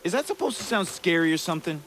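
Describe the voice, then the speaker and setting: A man playing a cocky, slow-witted soldier in a video game, blunt and boisterous. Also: sits high, very animated, a bit bright, slight background noise. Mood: cocky, puzzled. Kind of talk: video game cutscene acting.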